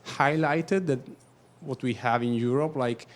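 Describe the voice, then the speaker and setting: A man with an Italian accent, Italian his first language, speaking up from the audience at a talk, halting and searching for words. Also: medium pitch. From Italy. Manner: halting, searching for words, then quick bursts. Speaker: audience member, documentary photographer. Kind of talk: question-and-answer at a talk.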